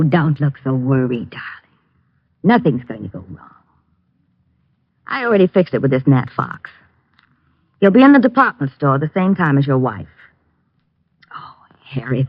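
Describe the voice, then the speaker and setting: A woman's voice: extremely low pitch, very animated, normal speed, long pauses, husky, no room sound, full character voice. An actress in an old radio drama playing a scheming young secretary who coaxes and pushes her lover to go through with a murder. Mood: coaxing, scheming. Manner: sharp, demanding, coaxing. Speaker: private secretary. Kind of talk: 1940s radio acting. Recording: dull lo-fi old radio recording.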